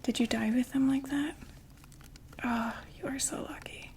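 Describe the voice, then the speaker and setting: A woman whispering a gentle, soothing ASMR roleplay as a friendly makeup saleswoman, often repeating words softly. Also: sits high, steady tone, measured pace, slight background noise. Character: friendly female vampire door-to-door makeup saleswoman.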